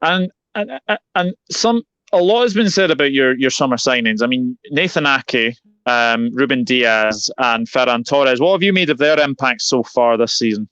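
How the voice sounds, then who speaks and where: Clear-voiced male football channel host. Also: mid-range voice, normal speed, hardly any pauses, fairly bright tone, dry recording. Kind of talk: football preview interview. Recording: slightly dull, lo-fi audio.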